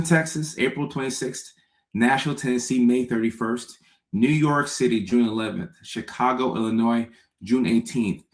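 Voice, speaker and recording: A man from Ghana, a comedian, chatting casually with live-stream viewers, greeting them by name and often repeating himself. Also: mid-range voice. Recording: echoey room.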